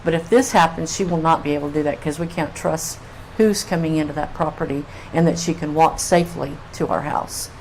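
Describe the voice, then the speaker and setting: A woman's voice: deep voice, brisk, hardly any pauses, darkish tone, poor audio. An older woman giving informal public testimony as a resident. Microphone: public comment microphone. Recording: faint room noise on meeting mic.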